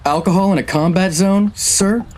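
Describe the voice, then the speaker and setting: A man's voice: high voice, moderate pace, darkish tone, slight background noise, natural voice. A man playing a reserved, formal junior naval officer in a war game cutscene. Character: younger navy officer, formal and reserved subordinate. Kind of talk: video game cutscene narration and dialogue.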